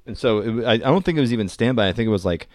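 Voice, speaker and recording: A man's voice: mid-range voice, expressive, rapid-fire, no room sound. An adult man co-hosting a podcast, chatting casually, laughing easily, swearing, and stumbling over his words. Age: adult, has a daughter. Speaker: podcast co-host. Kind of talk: casual chat.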